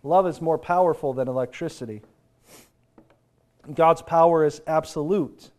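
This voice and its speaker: A man preaching a sermon, sounding congested and nasal from a sinus infection, slowing to stress key phrases. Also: on the high side, a little mellow.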